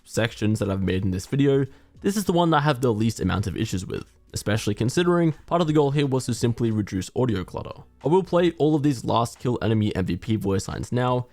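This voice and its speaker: A man giving casual, conversational commentary on a video game in a measured, opinionated way. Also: mid-range voice, a little mellow, dry recording.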